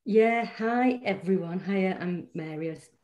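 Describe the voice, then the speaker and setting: A woman's voice: medium pitch, unhurried, a little mellow, highs cut off. A middle-aged woman with a Mancunian accent, a poet chatting in an interview with many restarts and fillers. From Manchester, England. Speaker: poet and guest. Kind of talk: casual interview chat.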